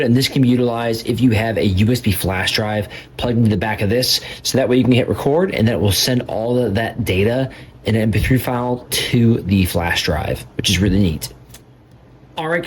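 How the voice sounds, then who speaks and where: A man's voice: lowish voice, slight background noise. A man giving a casual, approving product demo in an informal, step-by-step way. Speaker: product reviewer.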